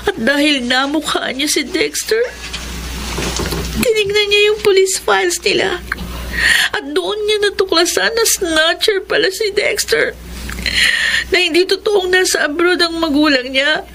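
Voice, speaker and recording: A woman's voice: very high-pitched, a little slow, creaky, background noise, exaggerated voice. An actress playing an earnest young woman, a younger sister, in a Filipino radio drama. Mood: tearful, hurt. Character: tearful young woman, younger sister, newly graduated and hopeful.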